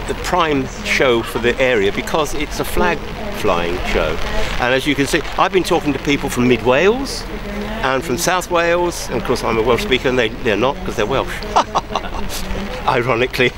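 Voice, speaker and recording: A man's voice: medium pitch, animated, moderate pace, background noise. Chatty older man outdoors in the rain. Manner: chatty, rambling, jokey. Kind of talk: casual interview. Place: outdoors at a rainy show ground. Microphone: camera held close.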